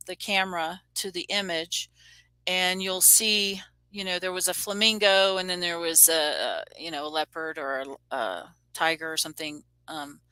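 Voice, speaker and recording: A woman's voice: on the low side, pushed and bright, clear, resonant tone, dry recording, rough recording. A woman hosting a casual online chat, rambling with many fillers and restarts. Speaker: show host. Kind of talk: casual video-call chat. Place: home room on a video call.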